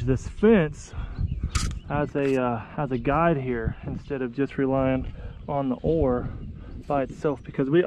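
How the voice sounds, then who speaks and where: A young American man chatting casually to viewers in a folksy, excitable way while out on the water. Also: mid-range voice. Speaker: vlog host. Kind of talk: casual outdoor vlog chat. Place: outdoors on a kayak under a bridge. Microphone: action camera. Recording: muffled action camera audio with outdoor noise.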